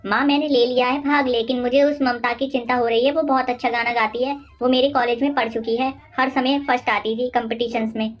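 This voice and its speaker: A woman voicing a naive young daughter-in-law, deferential and full of questions, in an animated moral story. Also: a little high, a little fast, hardly any pauses, lightly acted. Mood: worried.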